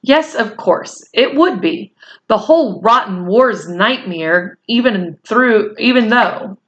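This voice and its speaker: A woman's voice: a little high, animated, takes it slowly, dull audio. A woman voicing a weary but chipper young British soldier in a storybook, full of bemused wartime slang.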